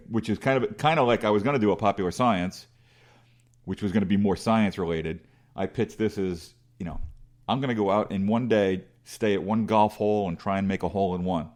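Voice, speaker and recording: Man, big voice, low-pitched, middle-aged, rambling storyteller, self-deprecating, lots of restarts. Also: darkish tone. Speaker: interview guest. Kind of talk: casual conversational storytelling.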